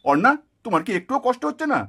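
Man voicing a high, very rapid village puppet man. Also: very animated, bright, forceful tone, slightly rough, exaggerated voice. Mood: teasing. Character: firm village family men in a puppet drama. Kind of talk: dramatized Bengali puppet-show dialogue.

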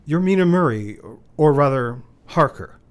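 An actor playing a courteous, grieving young lord in a radio play. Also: male voice, a little high, expressive, normal speed, natural voice. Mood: subdued, polite.

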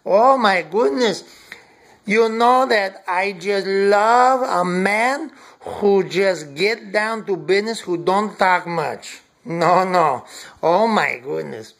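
Man acting a camp diva woman, flirtatious, coy, gushing, unhurried delivery, comic monologue to camera. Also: high-pitched, lively intonation, vocal fry, a bit bright, heavily acted. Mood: excited, swooning. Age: middle-aged. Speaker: character host of a countdown. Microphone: webcam or device at arm's length.

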